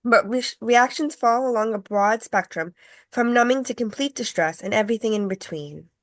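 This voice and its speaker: A woman's voice: fairly high pitch, moderate pace, dry recording, close to an everyday voice. Earnest tour guide, dry close sound woman acting. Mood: solemn, earnest. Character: polite, earnest tour guide, gently apologetic. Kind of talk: table read of a film script.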